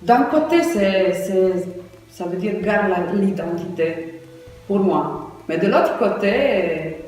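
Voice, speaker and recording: A non-native French speaker answering interview questions slowly and thoughtfully. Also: medium pitch, expressive, a little mellow, faint music behind. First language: not French. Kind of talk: slow, reflective interview answers. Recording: echoey hall, voice from far off.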